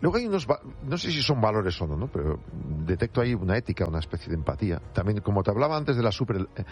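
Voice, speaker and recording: A man's voice: lowish voice, big pitch swings, very fast. A man with a Spanish accent from Spain, interviewing in a casual, informal and chatty way.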